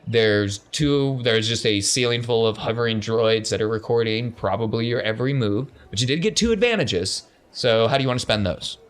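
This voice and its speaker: A man's voice: medium pitch, normal speed, few pauses. A man running a tabletop role-playing game as game master, encouraging and affirming his players. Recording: noticeable background noise.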